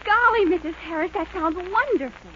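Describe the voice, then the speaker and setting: A woman's voice: very high voice, animated, normal speed. An actress playing an eager, girlish young daughter in a 1940s radio comedy. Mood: enthusiastic. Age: young adult. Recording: muffled, noisy old radio recording.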